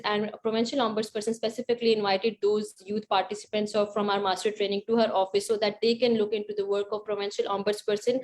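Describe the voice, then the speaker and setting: A woman's voice: on the high side, a little hiss or hum. A woman with a Pakistani accent speaking as a panelist in an explanatory style. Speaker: panelist, project grantee.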